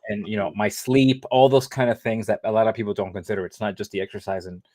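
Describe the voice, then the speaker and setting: Guest, male, 30s, lower voice, loose conversational delivery with fillers and self-corrections. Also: fairly even intonation, fast, darkish tone. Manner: rambling, lots of fillers and restarts. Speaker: interview guest, living kidney donor. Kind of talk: casual conversational interview.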